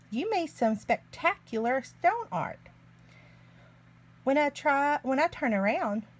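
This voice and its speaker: Slow-paced woman reading a children's story. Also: a little high. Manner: expressive, deliberate, child-directed delivery. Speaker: children's story reader, early learning teacher. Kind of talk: read-aloud picture book narration.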